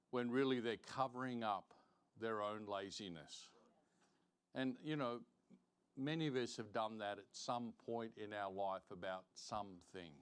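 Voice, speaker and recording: Male preacher for a Sunday school lesson. Medium pitch, deliberate, emphatic, leans on repetition. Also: clear, resonant tone. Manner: emphatic, deliberate, repeats phrases for stress. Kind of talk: sermon, Bible teaching with verse reading. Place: church, live-streamed service.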